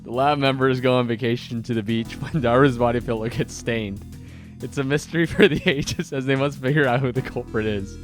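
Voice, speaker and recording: A man's voice: mid-range voice, a little fast. A man hosting a scripted commentary video with enthusiastic delivery and dramatic emphasis. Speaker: video host and anime fan. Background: background music.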